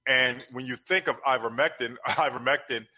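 A man speaking as a guest professor in an interview, explaining in a measured, didactic way with repeated phrases. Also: medium pitch, sharp tone, slightly rough.

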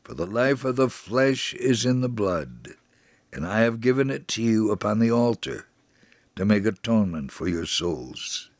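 A man's voice: fairly low pitch, animated, unhurried, a little mellow, no echo. A man playing a devout survivor, dry sound. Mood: sincere, reflective. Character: devout male Holocaust survivor recalling his life, sincere. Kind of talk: dramatic reading of a memoir.

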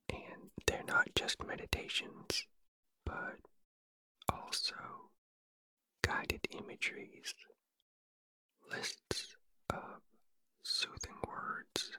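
A man hosting a sleep relaxation podcast in a breathy whisper, speaking in a gentle, soothing ASMR style. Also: very high-pitched, low-quality recording. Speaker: podcast host and relaxation guide.

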